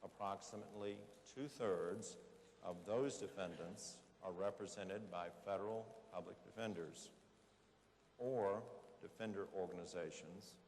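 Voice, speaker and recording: A man giving formal opening remarks in a large hall, speaking in measured short phrases with pauses. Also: low-pitched, a little mellow. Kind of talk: formal prepared speech. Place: large hall at a public event. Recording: echoey hall, background noise.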